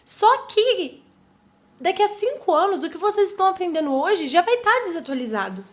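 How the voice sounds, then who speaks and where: Brief: twenties female podcast host, Brazilian accent, high pitched, animated, conversational monologue. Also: normal speed. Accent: Brazilian Portuguese. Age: 20s. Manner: chatty, animated, many fillers and restarts. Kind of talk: reflective solo podcast talk.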